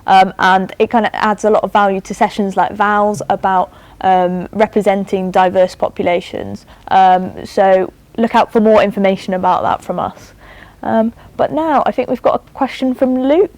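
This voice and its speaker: A woman hosting a students' union live Q&A, upbeat and brisk, with frequent ums as she hands over to questioners. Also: mid-range voice, fairly even intonation, a little mellow, dry recording. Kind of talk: moderating a live Q&A.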